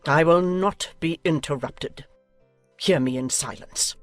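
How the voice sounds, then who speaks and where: A woman's voice: deep voice, takes it slowly, dry recording. Imperious older noblewoman acted by a woman, indignant and declamatory; dry period audiobook sound with music underneath. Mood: haughty, indignant. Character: haughty, domineering older aristocratic lady, indignant and imperious. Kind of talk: dramatized audiobook acting.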